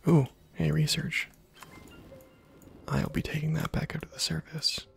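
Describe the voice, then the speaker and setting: A man giving casual, halting ASMR game commentary in a soft, breathy, whispery voice, murmuring as if to himself. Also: a little high, level tone, soft background music. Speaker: gaming video host.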